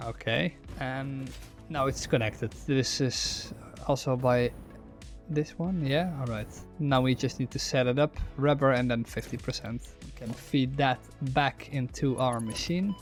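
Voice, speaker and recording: A young man from the Netherlands with a Dutch accent talks his way through a factory-building game, restarting words as he thinks aloud. His voice has a slightly gravelly, fry-tinged texture at a middling pitch. Soft game music plays faintly underneath. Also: unhurried. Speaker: streamer. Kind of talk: gameplay commentary while reading chat.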